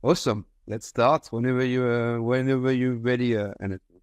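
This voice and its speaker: A man's voice: mid-range voice, steady tone, a little fast, smooth, no echo, clean studio sound. A man from France with a French accent, explaining his business conversationally as an interview guest. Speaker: company founder, podcast guest.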